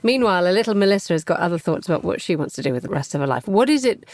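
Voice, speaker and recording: A woman's voice: lowish voice, very animated, racing, a little mellow, dry recording. Woman interviewer, dry studio sound. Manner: prepared, leading questions. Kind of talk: interview questions.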